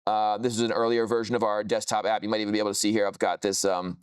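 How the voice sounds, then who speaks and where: A man with a smooth, fairly low voice presents a software product informally. The sound is dry, with little room in it. He explains in a casual way, leaning on technical jargon. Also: level tone, fast, no echo.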